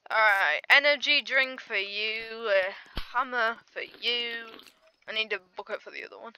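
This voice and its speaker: A woman's voice: fairly high pitch, normal speed, pushed and bright, a little hiss or hum, rough recording. A young woman giving casual, rambling gameplay commentary with dry, playful asides. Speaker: gaming video host.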